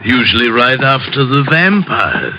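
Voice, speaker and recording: Slow, ominous haunted-house butler Kane. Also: male voice, medium pitch, very animated. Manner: slow, ominous, deferentially formal. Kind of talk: 1940s radio detective drama acting. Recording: muffled, noisy old radio recording.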